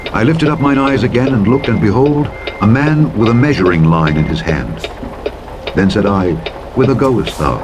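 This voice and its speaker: A man reads the King James Bible aloud as a narrator, in a solemn, deliberate, declamatory style with measured phrasing. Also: on the low side, background noise. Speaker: scripture narrator. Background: truck driving sounds.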